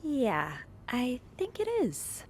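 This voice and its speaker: An actress playing an earnest, curious young hotel maid in a mystery video game, speaking thoughtfully and politely in casual conversation. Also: female voice, sits high, huge pitch swings, slow pace, a little mellow, slight background noise, exaggerated voice. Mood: curious, hesitant.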